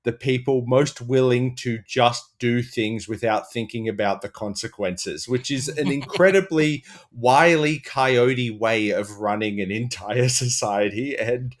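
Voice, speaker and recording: A man's voice: mid-range voice, a little slow, hardly any pauses. An Australian man riffing as a comedy panellist in exasperated, sweary, rambling rants.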